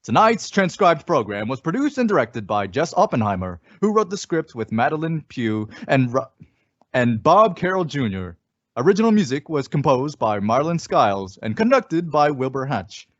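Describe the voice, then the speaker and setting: A man's voice: medium pitch, very animated, normal speed, dry recording. A formal announcer in the 1950s radio manner, performed by a man. He presents scripted copy in a very dry, slightly muffled recording. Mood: formal, presentational.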